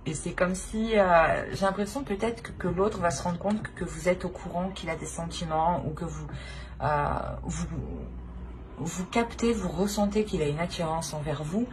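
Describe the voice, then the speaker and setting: A woman's voice: lowish voice, normal speed. A woman giving an improvised tarot reading, speaking directly to the listener in hesitant, repetitive phrases. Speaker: tarot reader. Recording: clear background noise.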